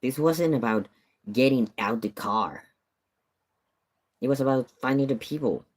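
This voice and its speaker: A male streamer reacts live to a news clip in his usual pitch. He sounds incredulous and answers the footage back with sharp questions, as if the reporters could hear him. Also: unhurried.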